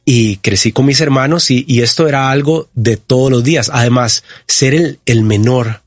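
A man in his fifties with a Costa Rican accent, giving personal testimony as an interview guest in a reflective, halting way. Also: medium pitch, no echo, studio quality. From Costa Rica. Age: 50s.

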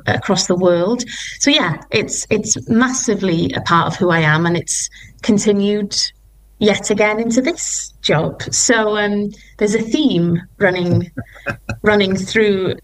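A young woman talks eagerly about her life as a performer, circling back over her words as she goes. Her voice sits a little low for a woman. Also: low-quality recording. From Wales. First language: Welsh. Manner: enthusiastic, rambling with restarts. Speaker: musical theatre performer and singer. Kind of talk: interview.

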